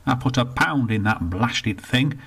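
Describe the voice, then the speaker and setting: A stubborn old grouch, as a man voices him, blustering and blunt in a storybook reading. The voice sits a touch higher than the reader's own and keeps its gravelly, husky edge. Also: expressive, unhurried, a bit bright, full character voice. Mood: indignant. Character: cantankerous older northern man, stubborn and belligerent.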